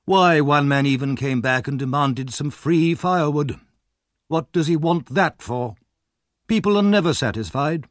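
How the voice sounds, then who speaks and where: A man's voice: fairly high pitch, a little slow, no echo, clean studio sound, voice pushed up, natural voice. A man voicing a self-important, grumbling parish official in a storybook reading, with a pompous manner. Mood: indignant complaint. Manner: pompous, complaining.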